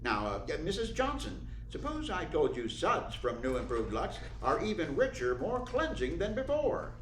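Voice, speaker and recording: Man acting a lively old-time radio announcer. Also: a little high, moderate pace. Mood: upbeat, selling. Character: polished, upbeat radio announcer and dramatic narrator. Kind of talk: 1940s radio acting, sponsor pitch and narration. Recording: roomy echo, faint noise.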